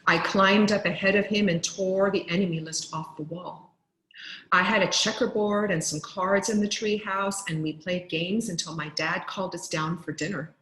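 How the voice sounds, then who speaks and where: A woman reading a children's story aloud expressively, like a teacher, with deliberate pauses for effect. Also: on the low side, steady tone, a bit bright. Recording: roomy, echoing sound.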